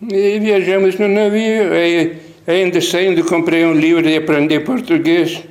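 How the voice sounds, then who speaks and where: An older man speaking with a Lithuanian accent, his voice sitting a little high for a man. He remembers in a halting, rambling way, breaking off and starting his sentences over. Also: steady tone, darkish tone. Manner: halting, rambling with many restarts. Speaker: missionary priest. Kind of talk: interview recollection.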